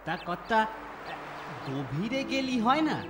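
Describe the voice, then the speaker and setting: A man's voice: sits high, very animated, takes it slowly, roomy sound, big-room sound, music in the background, exaggerated voice. A man playing a naive, talkative, middle-aged village servant in a cartoon, with a rural Bengali accent and a humble, folksy manner. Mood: naive, eager. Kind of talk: cartoon dialogue acting.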